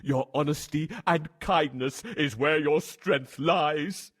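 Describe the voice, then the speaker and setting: A man playing a booming proud lion king. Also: fairly bright tone, slightly rough, full character voice. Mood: grateful. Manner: booming, slow and theatrical. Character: proud hungry male lion king, boastful. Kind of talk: storybook character acting.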